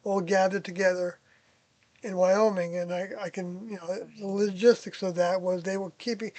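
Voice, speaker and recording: An older person reminiscing casually about past experiences, hesitant and pausing often while searching their memory. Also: medium pitch, little pitch movement, a little mellow, no echo. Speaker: interviewee, former member of a group recalling past experiences.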